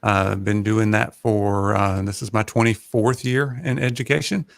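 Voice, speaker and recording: A man, chatting casually as a guest and pausing often with fillers. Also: lowish voice, dry recording, studio quality. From North Carolina. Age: 40s. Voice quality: soothing, gravelly. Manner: relaxed, hesitant, many fillers. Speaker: guest, high school math teacher. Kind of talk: casual livestream chat. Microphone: home podcast mic.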